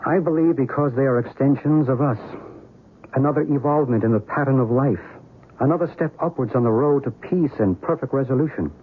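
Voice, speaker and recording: A man's voice: mid-range voice, fairly quick, husky, phone-quality sound, natural voice. An actor playing an earnest, philosophical male believer in otherworldly visitors, persuasive in old-time radio style. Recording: noisy, thin old radio recording.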